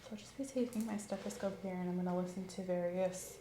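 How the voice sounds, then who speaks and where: A woman in an ASMR roleplay as a nurse, giving calm, gentle clinical instructions and sometimes dropping into a whisper. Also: on the low side, fast, background noise. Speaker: ASMR creator playing a nurse. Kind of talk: ASMR medical examination roleplay. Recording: roomy echo with clear background ambience.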